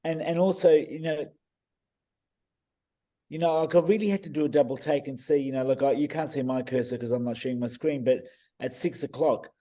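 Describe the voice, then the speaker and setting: Croaky-voiced man, warm and chatty. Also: medium pitch, fairly even intonation, a little fast. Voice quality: hesitant, many restarts and fillers. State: croaky voice, clearing throat. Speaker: iridologist guest reading eyes. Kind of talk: casual video-call discussion.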